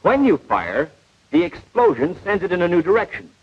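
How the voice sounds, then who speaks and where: A man playing a patient, precise, didactic gunnery instructor in a 1940s animated training film. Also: sits high, moderate pace, creaky, slightly rough, full character voice. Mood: instructive. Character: patient, know-it-all male gunnery instructor, precise and unflappable. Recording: dull vintage 1940s film soundtrack.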